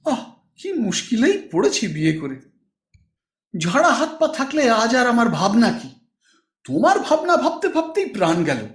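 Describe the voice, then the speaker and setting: A man's voice: very high-pitched, huge pitch swings, a little slow, pitched above the performer's own voice, heavily acted. A man voicing an irritable middle-aged husband, grumbling and sarcastic, in an audiobook story. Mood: mocking exasperation. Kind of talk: audiobook character acting. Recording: echoey room.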